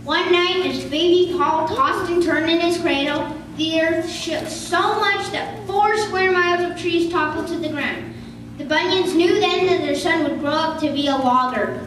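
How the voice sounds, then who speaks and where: A child around eight or nine tells a rehearsed story in a very high voice. The room echoes heavily and there is clear noise. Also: unhurried, fairly bright tone. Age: child, about eight or nine. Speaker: third-grade pupil reciting. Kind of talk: scripted storytelling in a class performance. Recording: distant, echoey, noisy room recording.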